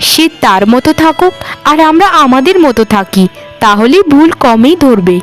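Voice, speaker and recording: A woman's voice: high voice, sing-song, moderate pace, soft background music. A woman voicing a weary, aggrieved village sister-in-law in a melodramatic cartoon, complaining plaintively. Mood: resigned. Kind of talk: cartoon voice acting, melodramatic dialogue.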